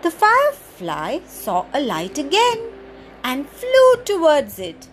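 A woman with a high, very expressive voice that swoops up and down as she reads a picture book to children. She takes her time, in a slow, sing-song storytime manner, with faint noise in the background. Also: low-quality recording. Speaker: children's storyteller podcast host.

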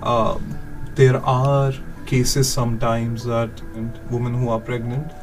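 A man from India whose first language is Hindi, hosting a podcast interview and asking halting, soft-spoken questions. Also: mid-range voice, flat delivery. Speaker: podcast host. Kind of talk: interview conversation. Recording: distant mic, music behind.

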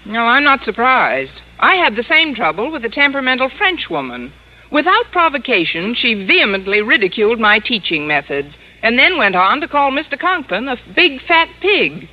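A woman acting a wry, sardonic schoolteacher in old-time radio comedy, with dry, deadpan wisecracks. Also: fairly high pitch, normal speed. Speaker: lead actress and narrator. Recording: muffled, noisy old radio broadcast recording.

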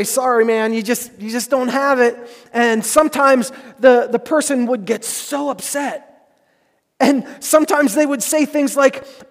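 A man preaching a sermon as pastor, earnest and emphatic, repeating phrases for stress. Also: extremely high pitch. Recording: preached in a hall with long reverb.

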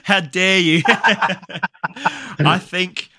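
Australian male podcast host, crisp bright tone, relaxed and laughing easily. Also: high-pitched, extremely slow. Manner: easygoing, laughs often, trails into fillers. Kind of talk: casual chat.

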